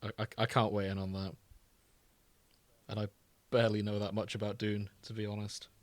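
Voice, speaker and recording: A man's voice: on the low side, level tone, brisk, dry recording. A man co-hosting a casual comedic podcast, with a wry, bantering and sometimes sweary manner. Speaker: podcast co-host.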